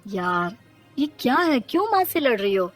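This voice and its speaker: A woman's voice: fairly high pitch, sing-song, normal speed, soft background music. A woman voicing a weary, evasive young husband in a cartoon moral story, mildly exasperated and full of excuses. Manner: excuse-making, mild exasperation.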